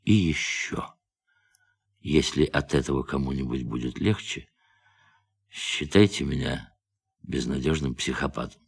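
An actor playing a calm, defiant astronaut in a radio play, speaking in a measured, quietly intense way. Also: male voice, extremely low pitch, creaky, breathy edge, heavily acted. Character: calm defiant astronaut who turned on his crew.

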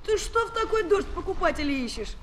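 A woman's voice: extremely high pitch, sing-song, brisk, a little hiss or hum. An actress playing a cheeky, brave, swaggering Parisian street boy in a Soviet children's radio play. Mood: gruffly kind. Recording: 1970s flexi-disc, loud music behind.

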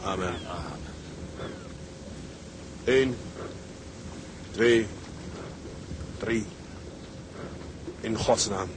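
An actor playing a grim, grieving sea captain in a Dutch drama, speaking in a solemn, deliberate way. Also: male voice, lowish voice, background noise. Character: grim, grief-stricken middle-aged male tugboat captain.

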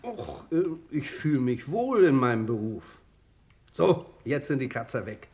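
A hard-working auto mechanic in a German radio crime drama. He sits at a middle pitch and talks plainly and obligingly. He comes across as modest and decent. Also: big pitch swings, measured pace, darkish tone. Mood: modest, chatty.

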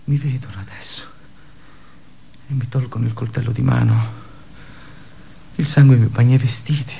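An actor playing a wounded, despairing office worker in an intense, halting radio theatre monologue, with a creaky voice. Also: male voice, mid-range voice, fairly even intonation, a little hiss or hum. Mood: hushed, bitter relief. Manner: halting, intense, shifting from hushed murmurs to anguished outbursts. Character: wounded, despairing office worker recounting his suicide attempt.

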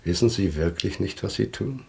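An actor playing a grave, haunted survivor who questions bitterly and solemnly in a 1960s radio drama. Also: male voice, low-pitched, expressive, creaky, a little mellow, a little hiss or hum. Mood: grave, brooding. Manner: solemn, deliberate, rhetorical questioning.

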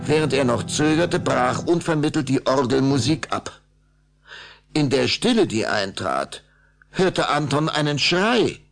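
A man narrating a radio play story in a dramatic, suspenseful and expressive way. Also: medium pitch, measured pace, creaky, soft background music.

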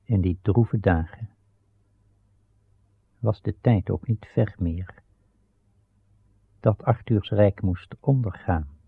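Deep, gravelly radio storyteller. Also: male voice, full character voice. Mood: solemn, measured. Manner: measured, solemn. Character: solemn storyteller of an old legend. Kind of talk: scripted radio drama narration. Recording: dull old radio recording. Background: plucked guitar-like music.

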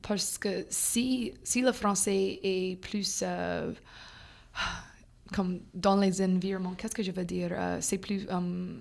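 A young woman from Louisiana whose first language is English, a French teacher talking casually as a podcast guest, halting and full of fillers, slipping in English words. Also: mid-range voice, fairly even intonation, gravelly, darkish tone. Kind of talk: casual conversation.

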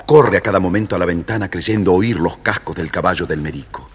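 Argentine man, storybook narrator, scripted delivery, low-leaning pitch, hall reverb, faint noise. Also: brisk.

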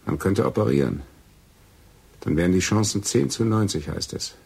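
A deep, heavily husky and breathy male voice. a fatalistic killer in love, bitter and intense, in a naturalistic radio drama. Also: expressive, normal speed, a little mellow, exaggerated voice. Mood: bitter, resigned.

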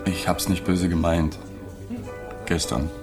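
A low, sober male voice as a melancholy journalist in a radio play. He tells his story with restraint and reflection, with faint music underneath. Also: flat delivery, takes it slowly. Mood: pensive. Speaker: narrator. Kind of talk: literary radio drama narration and dialogue.

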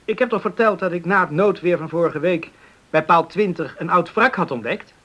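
An actor playing a friendly island beachcomber in a radio play, earnest and sometimes hesitant. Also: male voice, high voice, moderate pace, bright, forceful tone. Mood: earnest, intrigued. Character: friendly male island beachcomber, earnest storyteller, shy suitor.

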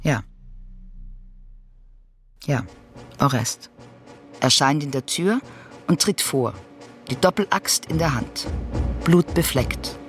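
An actor playing a brooding, fractured figure from Greek tragedy in a radio drama monologue, with halting, repetitive, self-questioning delivery. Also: male voice, fairly high pitch, animated. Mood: ominous, then grave, menacing. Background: music.

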